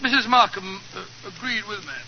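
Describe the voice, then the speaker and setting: An actor playing an eager, kindly, bumbling English doctor in 1940s radio drama style, fussy and hesitant. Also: male voice, high-pitched, brisk, a little hiss or hum. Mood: polite, earnest. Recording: dull old 1940s radio recording.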